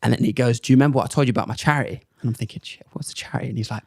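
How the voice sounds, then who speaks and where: A young man and comedian, chatting casually as a podcast guest and telling animated stories in which he acts out other people's lines. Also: mid-range voice, very fast, creaky, dry recording, clean studio sound. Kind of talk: casual chat, storytelling.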